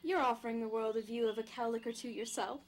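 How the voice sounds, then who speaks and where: A woman's voice: fairly high pitch, fairly even intonation, quick pace, a little mellow, natural voice. A woman playing a teasing, easygoing young houseguest in an audio drama, with a playful, conversational manner. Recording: clear background noise.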